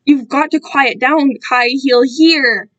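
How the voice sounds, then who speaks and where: A woman's voice: high voice, slow pace, a bit bright, dull audio. A woman voicing a desperate, defiant male captive with an urgent, strained manner in a dramatic story reading. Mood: urgent, begging.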